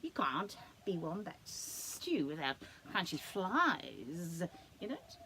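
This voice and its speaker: A woman's voice: a little high, huge pitch swings, slow, darkish tone, heavily acted. A woman voicing an old, scornful male blue-tongue lizard in a storybook, with a hissing delivery.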